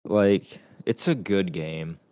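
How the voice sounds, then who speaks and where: A young man with a very deep, low voice, chatting casually as a podcast co-host. He talks bluntly and swears all the time, sounding rowdy and unfiltered. The sound is dry and close, with no room around it. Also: takes it slowly, darkish tone, dry recording. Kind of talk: casual chat.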